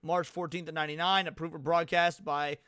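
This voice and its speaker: A young American man giving casual livestream commentary, with slangy, profane, excitable talk. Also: a little high, fairly quick, a little hiss or hum. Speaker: livestream host reacting to a video.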